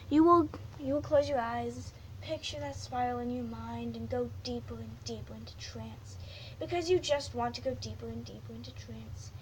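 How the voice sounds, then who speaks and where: A woman guiding a hypnosis induction, slow and soothing, repeating suggestions over and over. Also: on the high side, a little mellow, slight background noise, poor audio.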